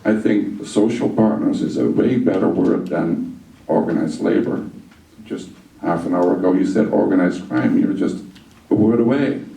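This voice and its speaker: A man with a Dutch accent, speaking at a community meeting in a measured, explanatory way. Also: sits low, creaky, mellow. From Netherlands. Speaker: audience member. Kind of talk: meeting discussion. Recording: roomy hall, distant mic, background noise.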